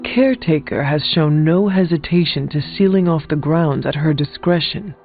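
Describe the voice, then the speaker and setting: Narrow, phone-like audio with faint music carries a man playing a solemn hunter of an older age in a video game. The voice sits fairly high. The speech is formal, deliberate and determined. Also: close to an everyday voice. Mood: suspicious. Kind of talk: video game character dialogue.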